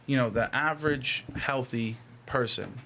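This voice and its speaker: A man's voice: mid-range voice, a little slow, gravelly, pushed and bright. A young man in a casual podcast discussion, talking in slang with some swearing and hedging his opinions. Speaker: podcast co-host. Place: small indoor room, group around table. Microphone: desk podcast microphone. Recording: noisy small-room podcast recording.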